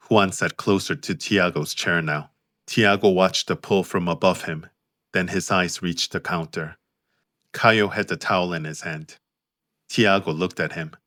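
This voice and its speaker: A man narrating his own audiobook in a measured, understated way. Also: low-pitched, a bit bright, dry recording, clean studio sound. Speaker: author and narrator.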